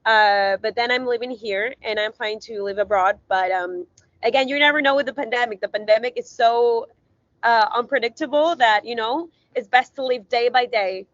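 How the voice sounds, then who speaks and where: A woman's voice: fairly high pitch, normal speed, no echo, highs cut off. A woman from Ecuador, a guest in an interview, talking earnestly with lots of fillers and rambling asides. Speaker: guest, visibility strategist. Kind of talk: casual interview talk.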